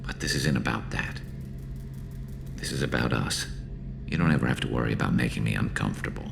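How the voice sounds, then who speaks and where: A man voicing a loyal alien soldier in a video game, gravelly, halting and self-deprecating with dry, nervous humour. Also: extremely low pitch, noisy background, full character voice. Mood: sincere. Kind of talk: video game dialogue acting.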